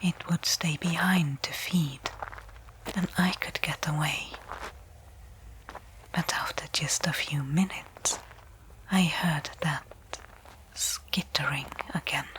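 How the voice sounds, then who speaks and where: Casting: woman acting as a tense stranded astronaut for ASMR sci-fi roleplay; low, breathy whisper, deliberate delivery. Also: steady tone, low-quality recording. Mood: uneasy, wary. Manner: slow, hushed, deliberate phrasing with pauses. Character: tense woman space explorer stranded on alien planet. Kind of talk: whispered ASMR audio roleplay, first-person monologue.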